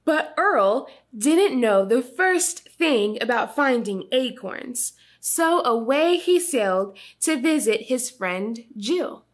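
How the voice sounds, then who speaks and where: Storytime host: young woman, high voice, unhurried pace, teacherly. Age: young adult. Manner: expressive, teacherly, prompting predictions. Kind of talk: children's read-aloud with lesson commentary.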